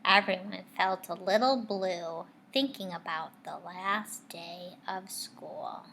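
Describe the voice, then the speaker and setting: A woman teacher reading a picture book aloud to children, expressively, pausing to ask her young listeners guiding questions. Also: on the low side, creaky, fairly bright tone, slight background noise, rough recording.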